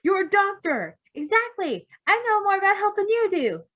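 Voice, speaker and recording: A woman's voice: very high voice, sing-song, normal speed, a bit bright, lo-fi sound, voice pushed up, exaggerated voice. A woman voicing a cheerful, stubborn, doting male doctor hero in an eager, emphatic way. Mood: indignant.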